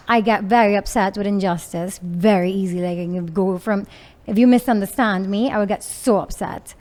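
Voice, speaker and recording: A woman with a Trinidadian accent, from Trinidad and Venezuela, answering interview questions in an open, expressive way and often laughing. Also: mid-range voice. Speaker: entrepreneur guest.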